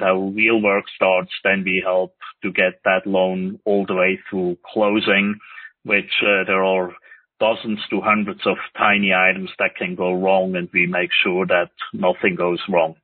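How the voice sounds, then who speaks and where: A middle-aged man from Switzerland with a Swiss accent, a podcast guest who speaks in measured phrases with many pauses. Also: low-pitched, flat delivery, no room sound. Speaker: guest, real estate lender. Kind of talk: podcast interview. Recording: dry, tinny phone-like line.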